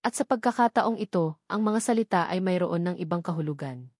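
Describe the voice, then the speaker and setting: A woman narrating a scripted love story in a dramatic, measured way, pausing for emphasis. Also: fairly low pitch, expressive, darkish tone, no echo, clean studio sound.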